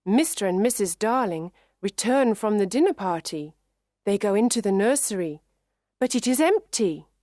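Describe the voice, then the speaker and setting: A woman reading a children's story aloud in clear, measured narration for English learners. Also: medium pitch, lively intonation, dark tone.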